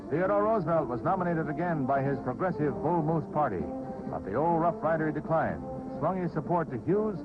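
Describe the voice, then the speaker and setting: A man with an American accent reads scripted historical narration as a newsreel announcer. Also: a little high. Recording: dull vintage newsreel soundtrack, loud music.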